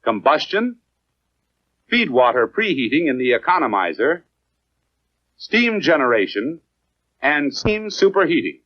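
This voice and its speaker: An American man reads scripted 1950s educational film narration in a measured, clearly enunciated, instructional manner. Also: a little high, very animated, no room sound. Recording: dry, dull lo-fi 1950s film soundtrack.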